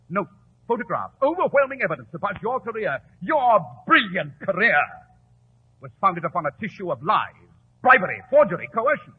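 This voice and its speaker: A commanding detective on tinny old radio. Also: male voice, high-pitched, husky, full character voice. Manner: theatrical, precise, declamatory. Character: brilliant, commanding male detective, coolly superior. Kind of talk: 1940s radio drama acting. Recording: tinny, noisy 1940s radio recording.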